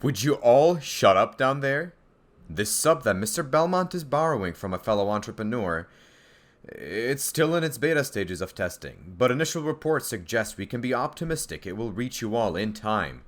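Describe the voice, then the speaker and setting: A man's voice: mid-range voice, very animated, normal speed, lightly acted. A man playing a brusque, official mission command officer in an amateur audio drama.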